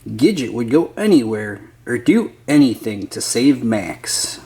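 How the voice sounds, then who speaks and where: A man's voice: medium pitch, big pitch swings, a little slow, mellow. A man reading a children's picture book aloud as a scripted narrator.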